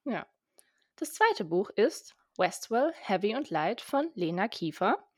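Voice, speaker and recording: A woman's voice: medium pitch, sing-song, slow, no echo, clean studio sound. A woman co-hosting a book podcast, upbeat, reading out categories and summing up books in casual chat. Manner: upbeat, reads out lists and summaries. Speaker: podcast co-host.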